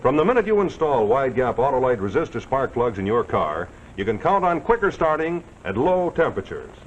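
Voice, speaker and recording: An American man working as announcer and pitchman, with a folksy, jovial late-1940s television sponsor delivery. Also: big pitch swings, fairly quick. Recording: dull, noisy old 1940s soundtrack.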